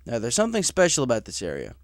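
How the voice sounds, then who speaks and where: An easygoing stallion in charge of a crew, played by a male storybook reader, with a medium-pitched voice. He is casual, frank and businesslike, and the sound is dry. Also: wildly swooping pitch, rapid-fire, no room sound. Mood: instructive. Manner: casual, frank, matter-of-fact. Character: easygoing, businesslike adult male pegasus boss.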